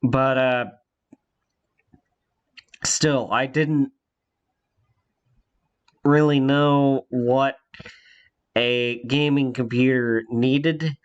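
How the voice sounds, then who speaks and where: A man's voice: medium pitch, steady tone, extremely slow, pauses often, clear, resonant tone, lo-fi sound. A congested young man telling a rambling personal story in a casual vlog, with many fillers and restarts. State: congested from a cold.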